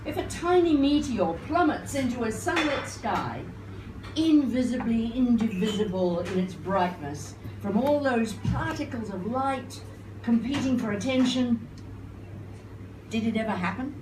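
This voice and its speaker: An older woman reciting poetry live, with theatrical, wry and deliberate phrasing. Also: on the high side, animated. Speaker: performance poet and singer. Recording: roomy, noisy live recording, distant mic.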